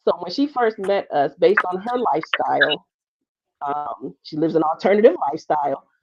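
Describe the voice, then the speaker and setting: A woman's voice: sits low, expressive, husky, dull audio. A woman, born in Jamaica and raised in the United States, speaking as she makes a long, earnest point in a live online panel discussion. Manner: earnest, measured, speaks at length. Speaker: panel participant.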